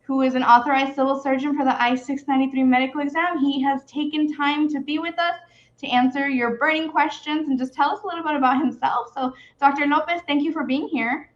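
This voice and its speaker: A high-pitched woman hosts a video interview in a friendly, easygoing way, often laughing and using fillers. The sound is dull and a bit lo-fi. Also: fairly even intonation, a little fast. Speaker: immigration attorney and host.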